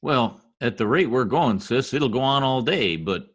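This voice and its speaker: Man playing a brash, grumbling husband, swooping pitch. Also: expressive, moderate pace, a bit bright, highs cut off. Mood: exasperated. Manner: brash, slangy, exasperated. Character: blustery, grumbling husband working as census taker. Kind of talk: old-time radio comedy acting.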